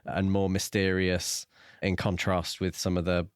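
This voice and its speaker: A man's voice: low voice, completely flat delivery, unhurried, clear, resonant tone, dry recording, studio quality. A man co-hosting a book podcast, discussing his views in a casual, conversational way. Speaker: podcast co-host reviewing books.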